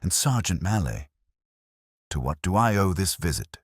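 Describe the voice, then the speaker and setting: A man's voice: low-pitched, big pitch swings, normal speed, breathy edge, dry recording, clean studio sound, heavily acted. Uptight village lady, acted by a male reader: fussy, stiff, defensive, swinging intonation, for an audio mystery. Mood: tight, wary. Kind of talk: audio mystery story dialogue.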